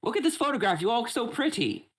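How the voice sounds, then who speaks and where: A man voicing an eerie, theatrical game-show host spirit in a high, sing-song showman voice. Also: very animated, fast talker, fairly bright tone, slightly rough, heavily acted. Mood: gleeful.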